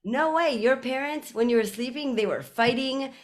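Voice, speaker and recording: A woman guest on a podcast, telling stories in a casual, animated way with many fillers. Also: high-pitched, moderate pace. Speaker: podcast guest, community CEO. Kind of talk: casual conversational interview.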